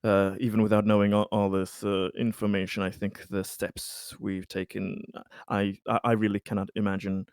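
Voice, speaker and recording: A man speaking formally and haltingly, repeating words as he plays a tabletop roleplaying game. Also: fairly low pitch, little pitch movement, darkish tone, dry recording, clean studio sound. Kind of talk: in-character tabletop roleplay discussion.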